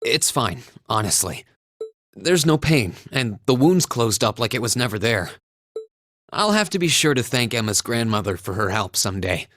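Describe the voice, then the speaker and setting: An earnest young male student from a video game, with a medium-pitched voice. He sounds modest, mild and unassuming, and the recording is close and dry. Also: sing-song, moderate pace, fairly bright tone, dry recording. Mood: reassuring. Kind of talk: English video game voice acting.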